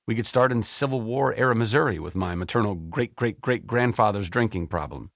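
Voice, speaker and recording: A man in his forties reading his own memoir aloud as an audiobook, in a candid, expressive storytelling manner. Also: no room sound, clean studio sound. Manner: expressive, candid storytelling with wide pitch swings.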